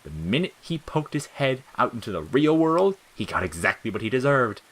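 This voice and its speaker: A man's voice: mid-range voice, big pitch swings, moderate pace, a bit bright, voice pushed up, poor audio. A man performs a malicious little talking flower for an audio story. The voice jumps well above his natural register and swoops around. It is childish, jeering and volatile, built for sneers, cackles and false sweetness. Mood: gleefully cruel. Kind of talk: dramatic audiobook character acting.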